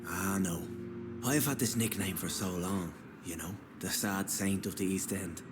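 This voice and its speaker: A man's voice: deep voice, a little slow, background noise. An actor playing a humble, earnest, apologetic clergyman in a video game. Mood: earnest, explaining. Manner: polite, earnest, gentle wording. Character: humble, apologetic clergyman, sad saint of slums. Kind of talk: video game dialogue acting.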